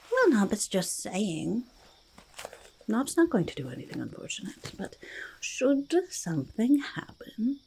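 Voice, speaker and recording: Woman as a creaky, whispering quirky goblin. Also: medium pitch, a little mellow, a little hiss or hum. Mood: slyly menacing. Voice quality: breathy half-whisper with creaky fry. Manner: halting, repeats words, third-person quaint phrasing. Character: quirky, treasure-loving, mildly menacing forest goblin, speaking in third person. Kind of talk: soft-spoken ASMR roleplay with whispering.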